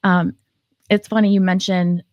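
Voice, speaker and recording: A woman author, a guest on a talk show, speaking in a calm, peaceful, measured way about her life. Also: fairly low pitch, no echo, dull audio. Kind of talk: reflective personal sharing.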